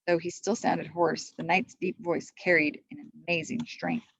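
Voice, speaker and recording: A woman's voice: deep voice, little pitch movement, unhurried, gravelly, husky. A woman reading a children's storybook aloud as a teacher would, in a slightly muffled recording. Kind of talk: storybook read-aloud narration.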